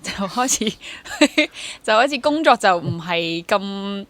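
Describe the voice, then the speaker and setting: A woman's voice: mid-range voice, lively intonation, takes it slowly, a bit bright, no echo. A woman in her twenties with a Hong Kong Cantonese accent, talking candidly and self-deprecatingly as a guest in a casual interview. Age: 20s. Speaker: guest, stage actor.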